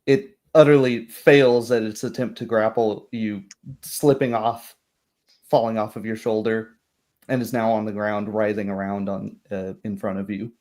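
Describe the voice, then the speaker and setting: Low-voiced man narrating a tabletop game. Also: flat delivery, moderate pace, darkish tone. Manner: descriptive, literary narration. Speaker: game master.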